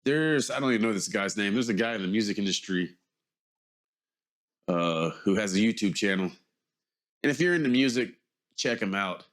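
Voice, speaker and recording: A man in his forties with a low-pitched, slightly stuffed-up voice. He talks casually to his vlog audience, hesitating and correcting himself as he works his way around to a point. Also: animated, normal speed, pauses often, a bit bright. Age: 40s. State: mildly congested. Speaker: vlogger. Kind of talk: casual rambling monologue to camera.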